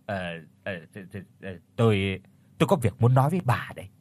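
A man's voice: mid-range voice, very animated, a little slow, husky, exaggerated voice. A man voicing a lecherous, smug older village man who chuckles and hesitates, in a spoken storybook. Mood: hesitant. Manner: hesitant, stammering.

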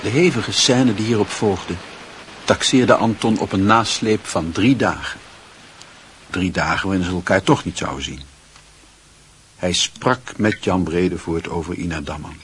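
Low-voiced male radio drama narrator. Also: unhurried, slight background noise.

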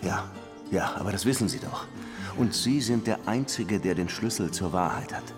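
Male actor doing a dogged cop in a radio crime play, deep voice, brooding, pushy, faint music under it. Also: expressive, normal speed, natural voice. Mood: urgent. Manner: insistent questioning, reflective narrating. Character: male Cuban police lieutenant, dogged, brooding, pressing investigator. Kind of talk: radio crime drama, dialogue and first-person narration.

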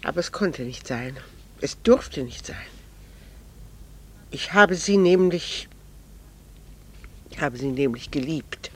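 An actress playing a composed, devoted middle-aged wife with quiet, restrained love in a 1960s German radio drama, speaking earnestly in measured, formal diction. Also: female voice, deep voice, lively intonation, husky, exaggerated voice. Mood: confessing, resolute.

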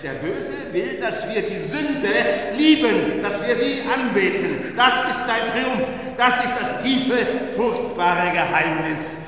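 An actor playing a fervent preacher who delivers a slow, dramatic sermon on sin and evil in a radio play. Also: male voice, high voice, expressive, fairly bright tone, heavily acted. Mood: fervent, menacing. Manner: impassioned, dramatic pulpit oratory with drawn-out pauses. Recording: distant, echoing church acoustic, noisy.

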